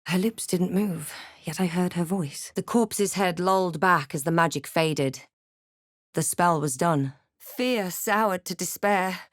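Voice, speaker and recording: A woman playing a brooding adventurer who narrates her own dark fantasy journey in a measured, literary storytelling style for a game. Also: fairly low pitch, no echo, clean studio sound. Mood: somber. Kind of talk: scripted first-person game narration.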